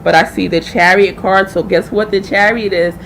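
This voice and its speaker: A woman's voice: mid-range voice, fairly even intonation, normal speed, gravelly, a bit bright, a little hiss or hum, low-quality recording. A woman hosting a live tarot reading, chatting informally and familiarly with viewers as she thinks aloud. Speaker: tarot reader hosting a livestream.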